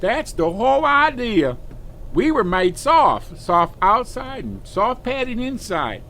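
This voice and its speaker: A man's voice: high-pitched, very animated, takes it slowly, pushed and bright, slight background noise, exaggerated voice. A man playing a wistful, huggable stuffed bear come to life in an audio drama, folksy and childlike. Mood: plaintive longing. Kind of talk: cartoonish audio drama acting.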